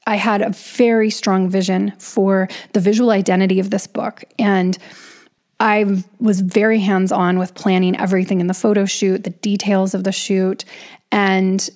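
A woman's voice: medium pitch, flat delivery, moderate pace, darkish tone. A woman hosting a podcast solo, talking casually and conversationally as if thinking aloud. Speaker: podcast host and author.

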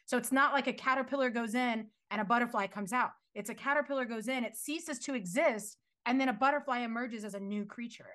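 A woman's voice: fairly high pitch, fairly quick, hardly any pauses, fairly bright tone. A young woman telling her faith testimony in casual chat. She talks earnestly, laughs often and fills her speech with "like" and "you know". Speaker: guest sharing her faith story.

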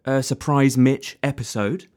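A man's voice: medium pitch, steady tone, measured pace, darkish tone. A young man co-hosting a podcast, playfully leading the chat and laughing along while nursing a cold. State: has a cold. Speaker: podcast co-host. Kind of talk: casual chat.

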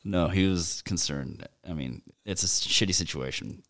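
A man's voice: low-pitched, moderate pace, fairly bright tone. A man in his thirties co-hosting a casual podcast, telling a story with plenty of swearing and acted-out quotes. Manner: storytelling, swears often, mimics voices in quotes. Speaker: podcast co-host. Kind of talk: casual comedic chat.

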